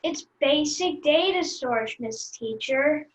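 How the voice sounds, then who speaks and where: A woman acts out a precocious kindergarten girl who bosses grown-ups about computers. The voice is high and slow, with a deliberate, matter-of-fact delivery. It comes through echoey, muffled call audio. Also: animated. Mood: blunt, matter-of-fact. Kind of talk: screenplay table read.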